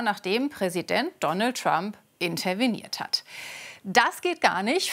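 A woman presenting the news, interviewing a guest and introducing reports with brisk, conversational questions. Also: medium pitch. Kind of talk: studio interview and news moderation.

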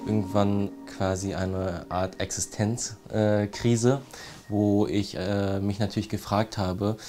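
A man's voice: on the low side, little pitch movement, slow pace, over music. A man in his thirties from Germany, a ceramic artist, speaking in a TV interview, hesitant with many fillers and restarts. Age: 30s.

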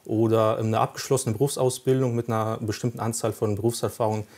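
A police recruitment officer whose first language is Russian, answering questions in a studio interview, explaining carefully with many hesitations. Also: male voice, on the low side, flat delivery, quick pace, a little mellow.

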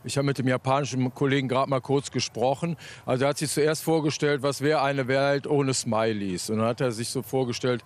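A man interviewed as an expert, speaking spontaneously and a little haltingly, repeating words. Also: mid-range voice, steady tone. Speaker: chief pyrotechnician being interviewed. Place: outdoors on a festival meadow.

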